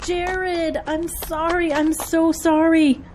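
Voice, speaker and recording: Woman acting busy campaign manager and single mother, high voice, earnest, expressive, audio drama, background noise. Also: slow pace. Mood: apologetic.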